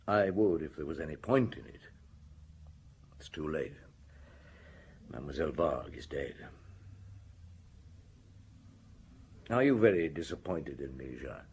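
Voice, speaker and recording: Radio drama police chief: world-weary, deep and husky, measured questioning, heavy conscience. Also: male voice, lively intonation, darkish tone, full character voice. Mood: quiet, pressing. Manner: measured, probing questioning, reflective.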